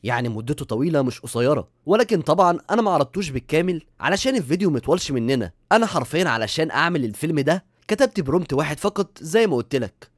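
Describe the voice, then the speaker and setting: A man's voice: a little high, no room sound, clean studio sound. A man with an Egyptian accent giving a casual, enthusiastic and emphatic tutorial voice-over as host.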